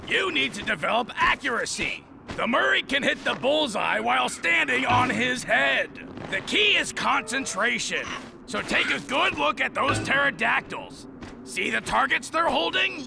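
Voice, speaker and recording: A man's voice: very high-pitched, gravelly, exaggerated voice. A man voicing a big, goofy, boastful strongman coach in a cartoon game, pompously talking himself up. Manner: pompous, speaks of himself in third person. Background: music.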